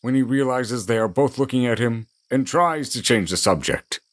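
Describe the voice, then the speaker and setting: A man's voice: medium pitch, moderate pace. A man reading scripted narration for an audio drama, in a storytelling style.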